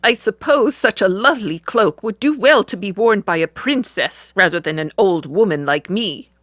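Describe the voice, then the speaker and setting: A woman playing a caring, wise old mother of a shepherd in an audio drama, soothing and measured. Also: mid-range voice, lively intonation, fairly bright tone, no echo, clean studio sound. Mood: gracious, measured.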